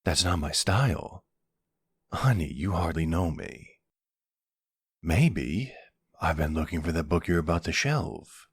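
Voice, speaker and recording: Man playing a gravelly, very low-voiced mafia boss. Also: expressive, dry recording, studio quality, full character voice. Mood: teasing, playful.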